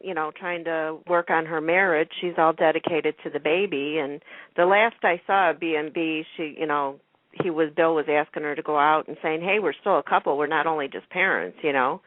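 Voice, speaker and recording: A woman's voice: deep voice, fairly even intonation, moderate pace, dry recording. A woman hosting a radio talk show in a casual, chatty style. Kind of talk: casual chat about TV soaps. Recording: narrow telephone-line radio sound.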